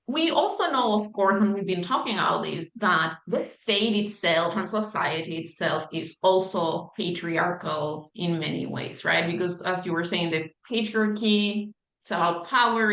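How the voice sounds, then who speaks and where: A woman's voice: medium pitch, a bit bright, reverberant, poor audio. A woman from Chile interviewing an author, thinking aloud and checking in with "right?" as she shapes her questions. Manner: thoughtful, conversational, asks with "right?" tags.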